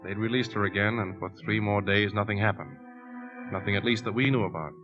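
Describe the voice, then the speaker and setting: An actor playing a worried, determined uncle who also narrates, in a 1950s radio drama style. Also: male voice, on the low side, fairly quick, lightly acted. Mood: grave narration. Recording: muffled 1950s radio recording with music.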